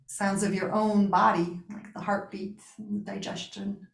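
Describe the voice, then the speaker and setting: A woman guiding a relaxation meditation with gentle spoken instruction, pausing and reassuring in a soothing way. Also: medium pitch, level tone, vocal fry. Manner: unhurried pauses, soothing reassurance. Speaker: yoga nidra meditation guide. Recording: roomy, echoing sound.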